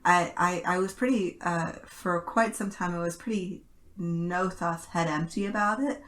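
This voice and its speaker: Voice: medium pitch, steady tone, a little slow, a bit bright. A podcast host chatting casually, with a faint noise in the recording. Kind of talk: casual chat.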